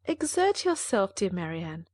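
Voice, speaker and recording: An actress voicing a composed but anxious elder sister who urges and pleads earnestly in an audiobook drama. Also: female voice, medium pitch, big pitch swings, a little slow, a little mellow, no room sound. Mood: urging.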